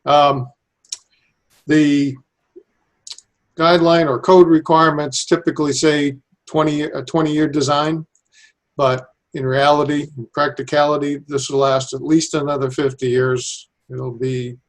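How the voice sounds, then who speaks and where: A man's voice: fairly high pitch, flat delivery, measured pace. A man giving informal, step-by-step explanations as an engineer being interviewed. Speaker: civil engineer, airport designer being interviewed.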